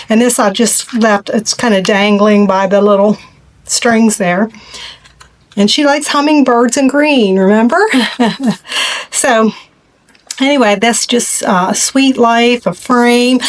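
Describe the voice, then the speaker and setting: Chatty woman losing her voice. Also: fairly high pitch. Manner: chatty, rambling, many ums and asides. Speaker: craft video host. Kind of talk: casual show-and-tell of crafts.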